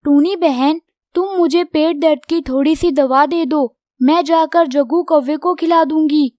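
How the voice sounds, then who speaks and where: Woman playing a high-voiced fretting mother crow. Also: a little slow, a little mellow, no echo, studio quality. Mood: worried. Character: concerned, questioning mother crow. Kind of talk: Hindi children's cartoon dubbing.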